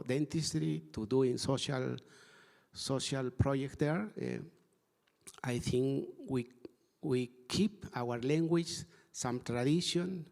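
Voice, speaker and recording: Man, English, gravelly voice, middle pitch, halting earnest panel talk. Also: darkish tone. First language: Spanish. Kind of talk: panel discussion. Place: conference hall.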